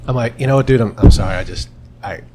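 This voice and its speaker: A man chatting casually as a podcast guest, telling bartending stories in an animated way and acting out the dialogue. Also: mid-range voice, fast, over loud music. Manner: animated storyteller, acting out dialogue, swearing freely. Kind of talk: casual comic bar storytelling.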